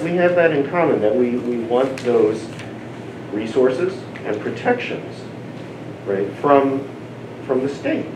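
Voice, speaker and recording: Advocacy rep, man, halting and earnest, distant mic, noisy echoey room. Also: medium pitch. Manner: halting, many fillers and restarts, earnest. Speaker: civil liberties group representative. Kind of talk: panel moderation remarks. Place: event room at a community panel.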